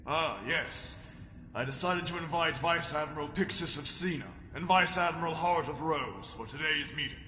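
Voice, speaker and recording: A man's voice: on the high side, normal speed, sharp tone, a little hiss or hum. A man playing an authoritative, courteous consul, formal and decisive, in a sci-fi drama. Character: authoritative, courteous male consul chairing a meeting. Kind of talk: sci-fi audio drama acting. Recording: echoey hall, clear background ambience.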